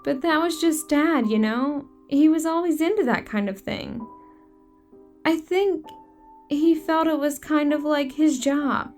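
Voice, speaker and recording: A woman plays a young girl in a horror audio drama. Her voice is high and slow, with a lazy, dreamlike drift, like a daydreamer. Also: darkish tone, soft background music. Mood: dreamy, wistful. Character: dreamy young girl recalling childhood games with her father.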